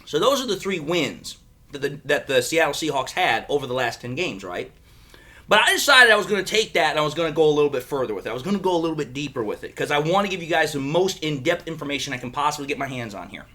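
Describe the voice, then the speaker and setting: A man's voice: on the high side, very animated, fast, bright, forceful tone. A man hosting a sports fan show, speaking in a casual, chatty way straight to his viewers. He is a little under the weather, so his voice sounds slightly crackly. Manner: chatty, repeats himself, addresses viewers directly. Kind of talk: casual sports analysis talk.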